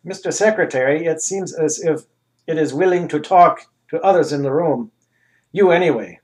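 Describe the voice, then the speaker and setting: A man's voice: a little high, moderate pace, voice pushed up, natural voice. A man voicing a formal scientist who speaks carefully in a comic story. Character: measured male scientist doctor.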